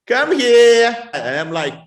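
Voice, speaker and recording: A man's voice: very high voice, big pitch swings, slow. A man with a Lithuanian accent giving spontaneous answers in an interview as an activist. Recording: echoey room.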